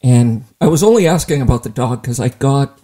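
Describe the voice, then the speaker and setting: Wisecracking man with a warm, dark voice. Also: medium pitch, lively intonation. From Ireland. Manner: wisecracking, quick asides. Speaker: podcast host. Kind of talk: joking interview chat.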